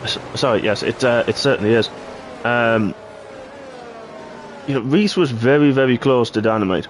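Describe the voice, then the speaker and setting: Man, hoarse, throat-clearing, live racing commentary, mid-pitched voice, faint background hiss. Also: normal speed, poor audio. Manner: excitable play-by-play, rising sharply at incidents. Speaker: race commentator.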